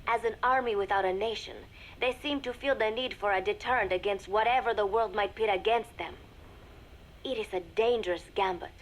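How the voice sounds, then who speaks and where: Woman acting a young lady spy dictating a secret game audio log, formal n measured, careful diction, noisy cassette sound. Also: medium pitch, natural voice. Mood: cool, calculating. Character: composed young female spy secretly reporting to a shadowy master. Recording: noisy cassette tape recording with ambience.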